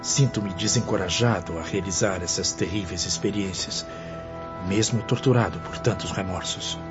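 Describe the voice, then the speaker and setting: A lowish male voice from an actor portrays a fearful, remorseful spirit who has lost courage. The speech is formal and earnest, in religious radio-drama style. It sounds dull, with clear music behind it. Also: normal speed, close to an everyday voice. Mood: discouraged, pained. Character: despondent male suicide spirit, fearful, remorse-tormented friend. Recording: dull lo-fi audio under music.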